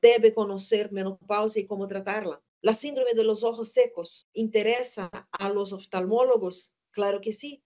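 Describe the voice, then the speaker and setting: A woman's voice: mid-range voice, a little mellow. Brazilian woman doctor, non-native speaker, earnest emphatic lecture, slightly muffled sound. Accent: Brazilian Portuguese. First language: Portuguese. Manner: earnest, emphatic, asks and answers own questions. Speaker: gynecologist lecturer. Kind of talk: webinar lecture.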